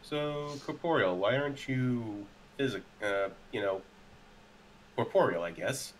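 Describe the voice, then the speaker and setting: A man's voice: medium pitch, measured pace. A man roleplaying casually in a tabletop game, hesitant and thinking aloud as he talks. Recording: clearly noisy background.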